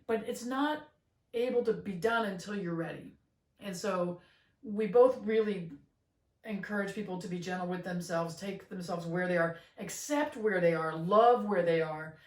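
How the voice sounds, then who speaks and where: A middle-aged woman co-hosting a podcast, talking in an earnest, gentle way with many fillers and restarts. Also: fairly low pitch, normal speed. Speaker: podcast co-host. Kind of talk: reflective casual chat. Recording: roomy, slightly distant mic.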